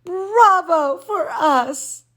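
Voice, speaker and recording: A woman reading a children's storybook, voicing an earnest, dramatic little girl in a drawn-out, emphatic way. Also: extremely high pitch, lively intonation, bright, forceful tone, pitched above the performer's own voice, exaggerated voice. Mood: joyful. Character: dramatic, earnest little girl narrator who loves dancing. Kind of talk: children's storybook read-aloud.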